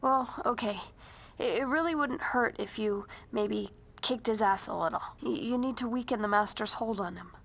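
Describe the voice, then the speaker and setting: A woman's voice: fairly high pitch, moderate pace, vocal fry, fairly bright tone. An actress playing a shy young female witch who speaks hesitantly and stammers. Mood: timid. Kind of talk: video game voice acting.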